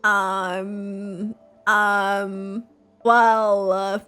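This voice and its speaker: A woman giving casual, expressive live reactions as she plays a game, with a giggly manner. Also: medium pitch, drawn-out words. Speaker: gaming reaction streamer. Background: game music.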